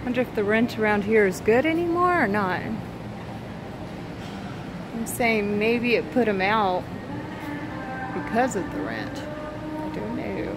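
A woman filming a walking vlog through an empty mall on her phone, musing aloud in a wistful way with soft hums. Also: on the high side, lively intonation, slow, pauses often, background noise. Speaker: vlogger. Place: inside an empty shopping mall. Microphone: phone camera held while walking.

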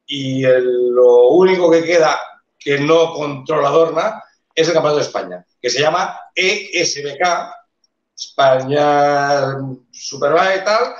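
A man on a video call explaining motorcycle engineering in a live debate, with many pauses and restarts. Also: a little high. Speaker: engineer panelist. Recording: roomy, dull video call audio.

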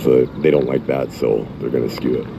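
A middle-aged man on his phone channel, halting and full of fillers, rambling and half-chanting lyrics he reads out. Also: extremely low pitch, fairly even intonation, gravelly. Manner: halting, many fillers and restarts. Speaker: channel owner. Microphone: phone mic. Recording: noisy phone recording.

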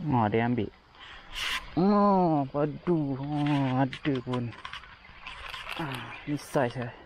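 Chatty fishing vlogger on a riverbank. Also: male voice, mid-range voice, extremely slow, background noise. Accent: Kelantanese Malay. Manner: chatty, excited exclamations while reeling fish. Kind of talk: casual fishing vlog commentary. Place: outdoors on a grassy riverbank. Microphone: chest- or head-mounted action camera.

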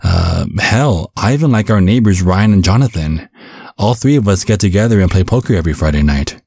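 A man acts out a bumbling, loving vampire father in an audio roleplay. His voice is deep and soft-edged with some huskiness. He speaks in a gentle, halting, reassuring way. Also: lively intonation, vocal fry, breathy edge, dry recording, clean studio sound, exaggerated voice. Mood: lighthearted, puzzled. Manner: gentle, stammering, chuckling reassurance. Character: caring, bumbling vampire father, looks twenty-five, over a century old. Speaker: ASMR roleplay performer.

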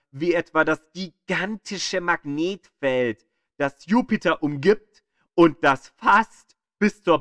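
A man's voice: fairly high pitch, a bit bright, clean tone, dry recording, studio quality. A man reading scripted educational narration as an audio guide, drawing out key words for dramatic emphasis.

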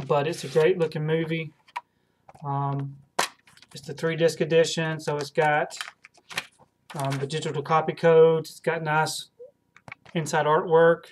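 Thirties male home video host, hesitant collector, monotone delivery, desk webcam sound. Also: a little high, a little hiss or hum. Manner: hesitant, many ums and repeated words. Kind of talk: casual haul video. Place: home room with shelves of films.

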